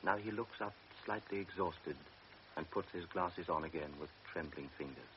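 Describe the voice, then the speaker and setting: A man narrating a radio drama in a measured, calm, scripted way. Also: low-pitched. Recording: lo-fi radio recording with faint hiss.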